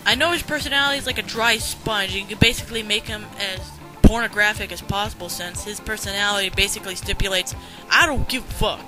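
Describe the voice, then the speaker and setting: A woman's voice: mid-range voice, fast talker, over music, low-quality recording. A woman giving blunt, sarcastic and profane commentary while a journal is read aloud. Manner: blunt, sarcastic, swears freely.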